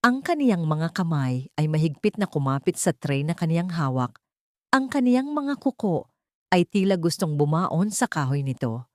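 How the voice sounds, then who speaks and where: A woman's voice: low voice, sing-song, moderate pace, no echo, clean studio sound. A woman narrating an audio story with a solemn, dramatic delivery.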